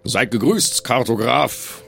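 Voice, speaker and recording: A man playing in an improvised pen-and-paper game, speaking casually in character in a dry, measured manner. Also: mid-range voice, lively intonation, creaky, fairly bright tone, faint music behind.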